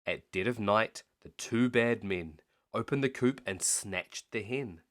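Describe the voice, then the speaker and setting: A man reading a children's bedtime story aloud in an expressive, measured, rhythmic way. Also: on the low side.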